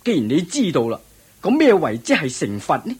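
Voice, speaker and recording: A man playing a proud, hearty king in a radio drama, exclamatory and expressive. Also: fairly high pitch, a little fast, breathy edge, heavily acted. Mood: shocked, displeased. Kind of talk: Cantonese radio drama acting.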